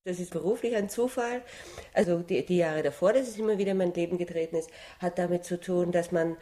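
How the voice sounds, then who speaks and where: Low-voiced woman telling anecdotes. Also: fairly quick, dark tone. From Vienna, Austria. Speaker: actress interviewed. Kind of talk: casual anecdotal storytelling.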